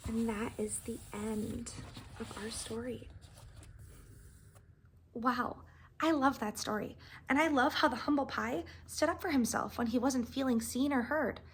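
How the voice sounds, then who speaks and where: A woman teacher doing an expressive read-aloud of a picture book for her students, adding explanatory asides in an animated storytelling manner. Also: fairly high pitch, slight background noise.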